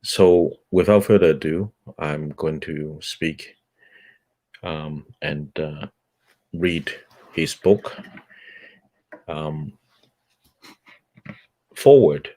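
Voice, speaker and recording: Very deep-voiced slow man, native Cantonese. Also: dark tone. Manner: measured, halting with fillers between phrases. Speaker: book club host. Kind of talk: reading a nonfiction book aloud.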